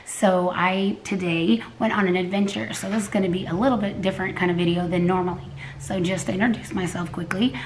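Hoarse woman in her forties, lowish voice, chatty home vlogger at her table, faint hiss behind. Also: poor audio. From Texas, USA. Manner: chatty and rambling, often restarts, coughs and clears throat. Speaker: cooking vlogger. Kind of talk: casual vlog talk to camera. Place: living room at home, indoors. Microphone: camera a few feet away at a table.

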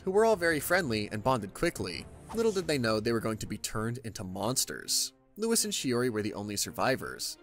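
A man's voice: medium pitch, fairly bright tone. Wry male recap narrator over music. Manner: wry, conversational asides. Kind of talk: scripted narration, casual story recap.

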